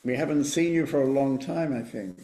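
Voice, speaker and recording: A spiritual teacher leading an online meditation group, speaking in a calm, measured way and often affirming with repeated "right, right". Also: male voice, mid-range voice, roomy sound, rough recording. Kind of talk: relaxed group-meeting talk. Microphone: computer mic on a video call.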